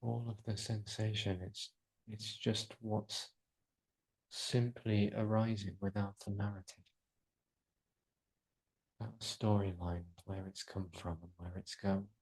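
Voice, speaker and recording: A man guiding a relaxation meditation in a soothing, unhurried way, pausing between short phrases. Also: fairly low pitch, level tone, darkish tone, slightly rough, rough recording. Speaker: yoga nidra guide.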